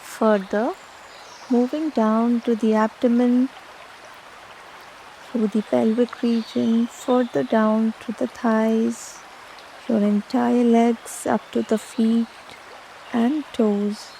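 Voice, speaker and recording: A woman leading a guided meditation, giving soothing, measured instructions with long pauses between phrases. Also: a little high, level tone, creaky, warm tone, a little hiss or hum, low-quality recording. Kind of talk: slow guided meditation narration.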